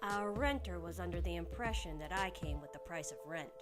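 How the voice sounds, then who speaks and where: A woman's voice: lowish voice, fairly quick, music in the background, close to an everyday voice. A woman playing a young student in an audio drama, a fretful sister with a fiery temper and a casual, emotional manner. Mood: casual, resigned.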